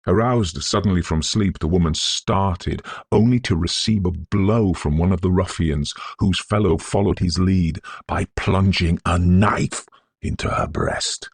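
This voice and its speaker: Deep, gravelly male storyteller. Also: no echo. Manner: measured, deliberate, solemn delivery. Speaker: narrator. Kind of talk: scholarly, atmospheric literary ghost story narration.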